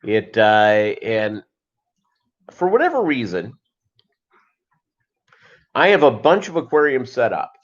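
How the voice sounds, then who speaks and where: A man hosting a casual live chat, rambling along with joking, deadpan asides. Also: medium pitch, slow pace, pauses often. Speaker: host of a live fishkeeping chat show. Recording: dull lo-fi livestream audio.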